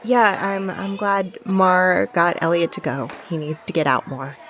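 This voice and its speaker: A woman playing a guarded, unimpressed minder of kids in an audio drama, wry, reluctant and halting. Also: on the low side, fairly even intonation, a bit bright.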